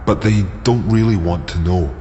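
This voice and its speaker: An actor playing a bitter, chummy yet unsettling Scottish ex-soldier in a naturalistic radio drama. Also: male voice, deep voice, moderate pace, mellow, natural voice. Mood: bitter, guarded. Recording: dull sound, long reverb, noisy background.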